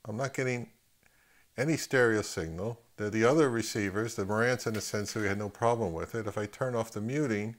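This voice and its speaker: Man casually reviewing gear, thinking aloud. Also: fairly low pitch. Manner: halting, thinking aloud with repeated words. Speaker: host of an audio gear video channel. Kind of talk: casual unscripted equipment review commentary.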